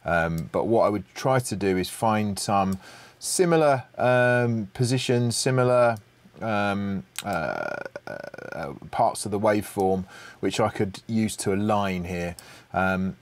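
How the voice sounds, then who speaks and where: Low-voiced man thinking through a tutorial. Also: animated, takes it slowly. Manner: hesitant, thinking aloud. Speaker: audio production tutorial presenter. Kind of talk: unscripted screen-share tutorial.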